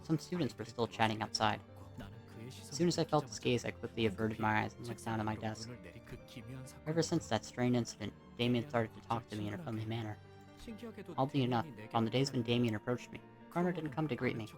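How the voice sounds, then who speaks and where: Amateur narrator for a first-person story, mid-pitched, reading from a script with music behind. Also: brisk. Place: indoors at home.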